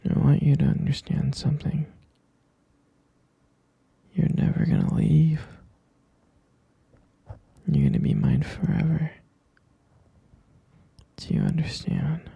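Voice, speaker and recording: Possessive captor boyfriend, played by a man, dark breathy gravelly whisper, slow and dry, right on the mic. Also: on the high side, no echo, exaggerated voice. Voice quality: soft whispering, coaxing and possessive. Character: obsessive possessive young male captor boyfriend. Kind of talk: whispered ASMR roleplay.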